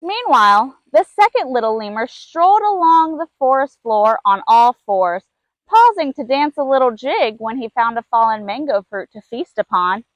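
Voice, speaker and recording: Female storybook narrator, high-pitched, reading aloud for children, recorded dry. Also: lively intonation, moderate pace, dry recording, lo-fi sound. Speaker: zoo keeper reading a story.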